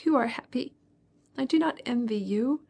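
A woman voicing a tearful, heartbroken young girl who pleads in a breathy, trembling voice. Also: fairly high pitch, steady tone, a little slow, a little mellow.

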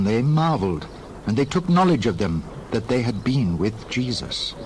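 A man narrating scripture in an expressive, scripted storytelling style. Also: mid-range voice, slight background noise, poor audio. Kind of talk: scripted, dramatized Bible narration.

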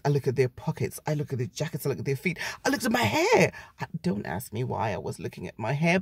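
A middle-aged woman vlogging from a parked car into a phone held at arm's length, telling a travel story in a lively way and jumping her pitch to act out the people in it. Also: creaky, husky, no echo. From Britain. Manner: animated, shifts pitch a lot to act out her own voice and her sister's. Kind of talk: casual storytelling to camera.

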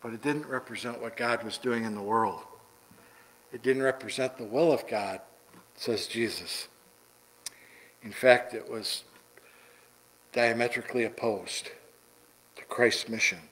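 A middle-aged man preaching a sermon from the pulpit in a church sanctuary, deliberate and repeating words for emphasis. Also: medium pitch, fairly bright tone, slight background noise, poor audio. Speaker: pastor. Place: church sanctuary, large indoor room.

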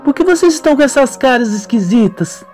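A man's voice: extremely high pitch, expressive, brisk, darkish tone, faint music behind, pitched above the performer's own voice. A man voicing a frightened, plaintive little boy in an amateur radio soap opera. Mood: anxious. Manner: plaintive, tearful. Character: frightened, tearful little boy, pleading and shrill.

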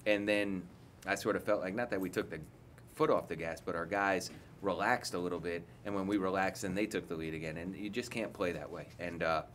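A man's voice: deep voice, steady tone, brisk, hardly any pauses, a bit bright, slight background noise. A man in his forties, a head coach, giving candid, rambling post-game answers full of restarts, seated at a table mic in an indoor press room.

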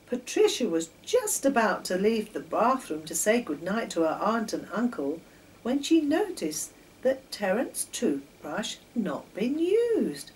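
A woman's voice: mid-range voice, big pitch swings, measured pace. A woman in her sixties reading a children's story aloud expressively, stumbling slightly now and then. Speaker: author reading her own children's stories. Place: home kitchen, indoors. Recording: distant mic, noticeable background noise.

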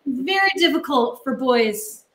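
A woman's voice: sits high, expressive, unhurried, roomy sound. A middle-aged woman cooking in her home kitchen and chatting on a video call, rambling casually with lots of ums. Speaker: guest cook. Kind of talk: casual cooking demo chat. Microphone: laptop webcam on a video call.

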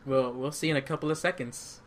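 A man's voice: mid-range voice, a little fast. A man chatting casually and jokingly as he plays a co-op video game. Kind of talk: casual co-op gameplay chat.

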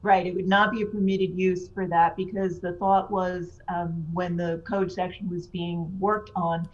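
A woman on a video call calmly explaining procedure to a planning board at a public meeting. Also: fairly low pitch. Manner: measured, explanatory. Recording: dull lo-fi video call audio.

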